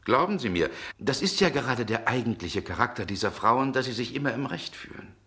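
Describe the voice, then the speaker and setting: An actor playing a brooding, world-weary middle-aged gentleman in a 1960s German radio drama, with genteel, measured diction. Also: mid-range voice, expressive. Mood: wry, lecturing.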